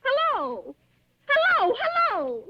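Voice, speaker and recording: A muffled vintage radio recording carries an imperious, agitated American woman invalid. Her voice is high and swings dramatically, fretful and indignant in the manner of 1940s suspense acting. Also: wildly swooping pitch, slow pace, heavy background noise, exaggerated voice. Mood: panicky, hysterical. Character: querulous, panicky bedridden woman invalid, imperious and self-pitying.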